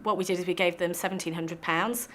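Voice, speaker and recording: Low, even-voiced woman. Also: fast talker, a little hiss or hum. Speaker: session facilitator. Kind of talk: informal explanation to camera.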